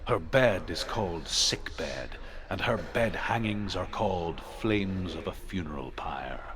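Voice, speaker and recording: An actor playing a grave storyteller in a video game, reciting dark myths in a solemn, measured way. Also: male voice, low-pitched, lively intonation, noisy background. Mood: solemn, ominous. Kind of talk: scripted mythic storytelling in a game.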